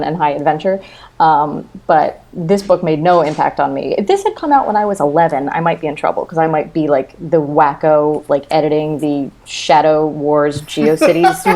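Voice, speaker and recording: A woman's voice: deep voice, darkish tone, slight background noise. A woman in her thirties, a podcast guest giving casual opinions on books in a wry, self-deprecating way. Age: 30s. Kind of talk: casual opinionated book chat.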